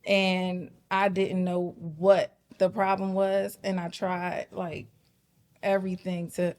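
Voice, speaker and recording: A woman's voice: lowish voice, level tone, slow, darkish tone. Woman guest, first language Patois, candid, reflective, conversational. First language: Jamaican Patois. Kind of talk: casual talk-show conversation.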